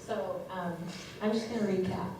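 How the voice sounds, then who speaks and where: A woman moderating a live panel, brisk and encouraging as she recaps points. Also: lowish voice, steady tone, dark tone, a little hiss or hum. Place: event hall with audience. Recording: echoey hall from far off.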